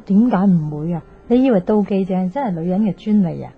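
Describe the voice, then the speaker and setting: A woman's voice: fairly low pitch, lively intonation, brisk, breathy edge, faint music behind. An actress with a Hong Kong Cantonese accent playing a sassy, nosy, teasing young woman in a radio drama. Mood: teasing, blunt. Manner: teasing, blunt. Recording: dull, noisy radio drama recording.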